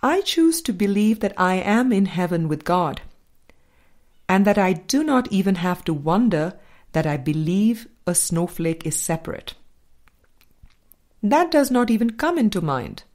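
Slow-paced woman reading a book aloud. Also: mid-range voice, big pitch swings, darkish tone. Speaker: audiobook narrator.

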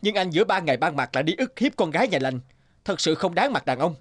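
A man's voice: a little high, big pitch swings, fast talker, dry recording. A man playing an upright, kindly young medicine seller in a cartoon, polite and earnest. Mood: righteous.

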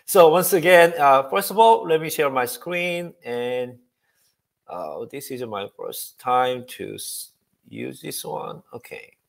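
A man in his forties giving a technical presentation on a video call, upbeat and halting, with many fillers. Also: mid-range voice, animated, vocal fry. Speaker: guest speaker. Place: home room.

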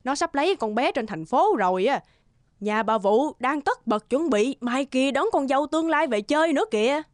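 A woman's voice: sits high, expressive, normal speed, no echo, studio quality. An actress voicing a gossipy, opinionated village woman in a cartoon. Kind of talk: cartoon dialogue acting.

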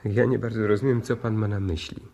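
An actor playing a polite but evasive doctor, a scientist's assistant, formal in 1960s radio play style. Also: male voice, fairly low pitch, fairly even intonation, a little fast, creaky, mellow, slight background noise.

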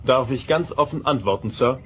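A man's voice: mid-range voice, normal speed, a bit bright, slight background noise, lightly acted. Starship commander, male actor, confident, formal, middle register, sci-fi audio drama. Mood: respectful, firm. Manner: respectful, firm, formal. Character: confident, dutiful male first officer of a starship. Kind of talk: German audio drama acting.

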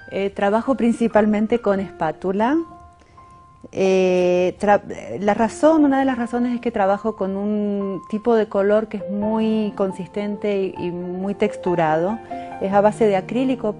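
Argentine woman painter, mellow tone, unhurried interview answers with frequent "eh" pauses, light music behind. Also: medium pitch. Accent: Argentine Spanish. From Mendoza, Argentina. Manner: thoughtful, pauses often with "eh" fillers.

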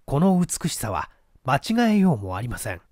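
A man reading a literary mystery story in a theatrical, measured, dramatic narrator's style. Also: medium pitch, huge pitch swings, darkish tone, no room sound, studio quality. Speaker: audiobook reader.

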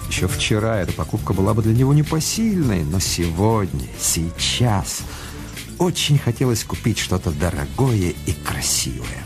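A man acting as the storyteller of a radio play, narrating in a measured, reflective and literary manner. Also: deep voice, big pitch swings. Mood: reflective, dramatic. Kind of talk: scripted narration for a radio drama. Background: music.

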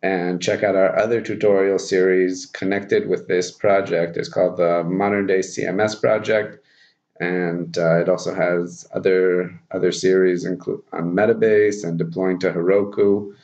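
A man in his thirties presenting a software tutorial informally, thinking aloud as he demonstrates at his computer. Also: sits low, level tone, moderate pace. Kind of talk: casual software screencast tutorial. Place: small plain room indoors. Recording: dull lo-fi audio, background noise.

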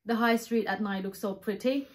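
A woman's voice: a little high, moderate pace. A woman chatting casually, chiming in with brief, dry remarks. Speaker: travel vlogger. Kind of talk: casual chat.

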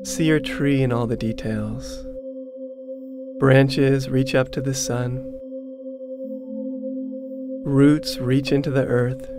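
A man narrating a guided meditation in a soothing, measured, instructional manner. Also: medium pitch, steady tone. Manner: soothing, measured, instructional, repeats phrases slowly. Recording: prominent meditation music with singing bowls. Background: meditation music, singing bowl tones.

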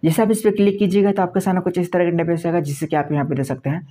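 A man's voice: high voice, little pitch movement, racing, warm tone. A man presenting a step-by-step phone tutorial in a repetitive, instructional manner. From India.

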